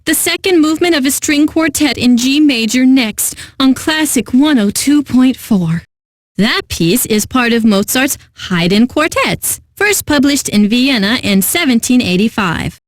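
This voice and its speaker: A woman's voice: high-pitched, animated, a little slow, dry recording, clean studio sound. An actress playing an eccentric, lovelorn female classical radio host in a video game, announcing with genteel poise and wistful, theatrical delivery. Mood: poised, admiring. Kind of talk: scripted radio DJ announcing with comic drama.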